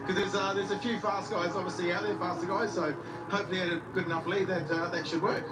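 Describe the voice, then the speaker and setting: A male race car driver giving a quick trackside interview, rattling off his answers with lots of ums and uhs. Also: high voice, level tone. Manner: quick, breathless, many fillers. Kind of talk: trackside post-stint interview. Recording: roomy, distant, heavy track noise.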